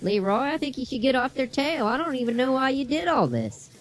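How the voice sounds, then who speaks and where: Man as unbothered male co-host with a high, pitch-shifted voice, casual comedy banter, faint music underneath. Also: big pitch swings, fairly quick. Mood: mild, reasonable. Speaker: podcast co-host.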